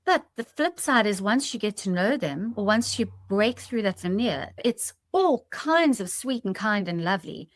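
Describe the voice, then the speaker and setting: A woman's voice: mid-range voice, expressive, moderate pace, few pauses, no room sound, studio quality. A woman hosting a podcast in a conversational, reflective way, explaining her thoughts to listeners. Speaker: podcast host.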